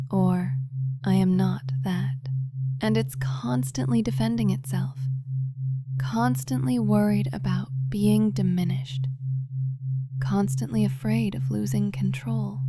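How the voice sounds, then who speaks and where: A woman delivering an intimate hypnosis monologue in a breathy, often whispery voice, commanding, deliberate and seductive, close to the microphone. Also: medium pitch. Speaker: hypnosis audio performer. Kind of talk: slow, intimate guided hypnosis monologue, ASMR-like. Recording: clearly audible background noise.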